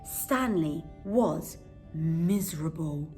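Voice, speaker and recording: A woman reads a children's bedtime story expressively, with dramatic, deliberate pauses. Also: low-pitched, expressive, darkish tone, soft background music.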